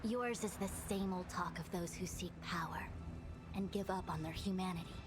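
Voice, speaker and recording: Actress as a stern female judge over loud music. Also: on the low side, steady tone, lightly acted. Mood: righteous, condemning. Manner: formal, measured, condemning. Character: stern, righteous female official passing judgment, composed and authoritative. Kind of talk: dramatic video game voice acting. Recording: game dialogue under loud music.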